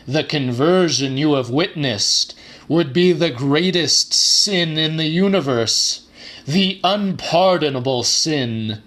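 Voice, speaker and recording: A man's voice: fairly high pitch, fairly bright tone, voice pushed up. A man voicing a mad scientist-prophet preaching grandly from a stage, theatrical and declamatory, in a dramatic audiobook. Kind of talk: dramatic audiobook character reading.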